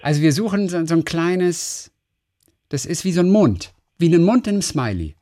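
A man's voice: fairly high pitch, animated, normal speed, dark tone. A middle-aged man co-hosting a radio show, chatting casually and rambling as he searches for words. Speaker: radio host. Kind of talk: casual on-air chat.

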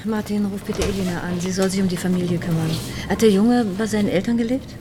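A woman playing a brisk, motherly police inspector in her fifties, easily exasperated, in a radio crime drama. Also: mid-range voice, steady tone, noisy background, lightly acted. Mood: sober, businesslike.

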